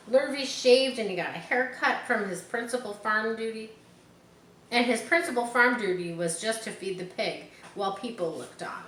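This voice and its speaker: A woman's voice: mid-range voice, fairly bright tone. A woman reading a children's book aloud, dropping in informal asides. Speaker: storybook reader. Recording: roomy echo, faint background noise.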